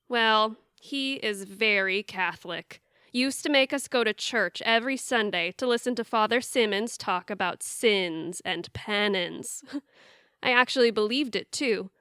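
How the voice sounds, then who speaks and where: A woman's voice: on the high side, sharp tone, smooth, dry recording, clean studio sound. A woman playing a wry, exasperated young adult daughter in an audio theatre comedy-drama. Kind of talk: audio theatre acting with confiding narration.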